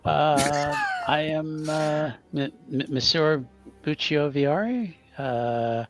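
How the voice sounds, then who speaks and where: A man playing a stout, apologetic gentleman adventurer in a tabletop game, hesitant and stammering. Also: a little high, extremely slow, music in the background. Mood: sheepish.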